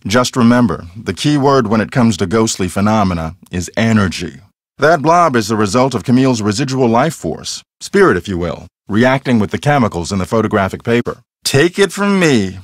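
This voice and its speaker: A self-assured male ghost hunter from a mystery game. His mid-pitched voice moves around in lively swings as he explains things earnestly and matter-of-factly. The sound is dry and close, with no room on it. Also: dry recording. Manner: matter-of-fact, explanatory, conversational. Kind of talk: acted video game dialogue.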